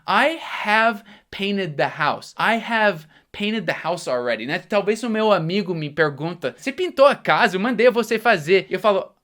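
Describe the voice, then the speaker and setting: A man's voice: high voice, lively intonation, a bit bright. Man teaching English grammar. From United States. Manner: explains patiently, slows down for example sentences. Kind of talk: bilingual grammar lesson.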